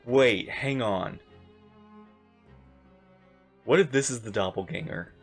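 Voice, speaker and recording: Very deep-voiced, creaky woman streamer. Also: faint music behind. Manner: halting, repetitive, thinking aloud. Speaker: streamer reacting to a game. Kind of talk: casual livestream reaction.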